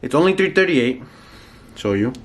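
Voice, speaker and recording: A man's voice: medium pitch, big pitch swings, moderate pace. A teenage boy from New York rambling in a casual home vlog, with a congested, nasal voice and lots of fillers. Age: teens. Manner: rambling, filler-heavy, self-conscious. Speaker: vlogger. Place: home, indoors.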